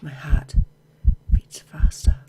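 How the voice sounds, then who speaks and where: A woman's voice: slow pace, very noisy. A woman reading a visual novel aloud as she plays, mixing narration with casual asides.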